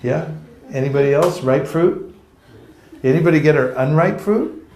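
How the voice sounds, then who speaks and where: A man's voice: on the high side, normal speed, a little mellow, a little hiss or hum. Guy from california teaching a seminar, jokey, acts stuff out, roomy echo. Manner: playful, jokey, acts out street encounters. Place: seminar room with a live audience. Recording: echoey room.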